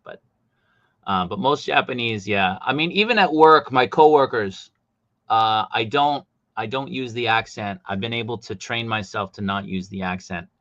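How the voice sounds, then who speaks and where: A man's voice: on the low side, bright, forceful tone, dry recording, muffled recording. Chatty man with a Boston accent. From Boston, USA. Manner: jokey, chatty anecdotes with quick bursts. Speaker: guest toy collector. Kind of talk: casual chat, storytelling.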